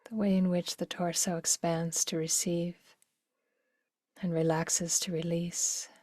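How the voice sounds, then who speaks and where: A woman leading a guided meditation in a gentle, unhurried, soothing way, with phrases that soften into breathiness. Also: lowish voice, little pitch movement, no room sound, studio quality.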